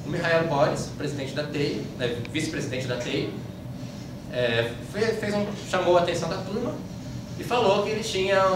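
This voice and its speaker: A man from Brazil with a Brazilian Portuguese accent gives an informal slide-show talk in a conversational, anecdotal way, often adding little checking tags. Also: fairly high pitch. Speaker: speaker giving a talk about his trip. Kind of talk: informal slide-show lecture, recounting travels. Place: indoor room, live talk to an audience. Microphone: far from the speaker. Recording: echoey room, distant mic, clear background noise.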